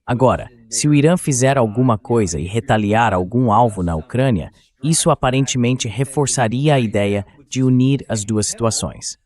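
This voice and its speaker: Male AI dub voice, interview host, conversational questioning, mid pitch. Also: moderate pace, few pauses, a little mellow. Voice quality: synthetic AI voice.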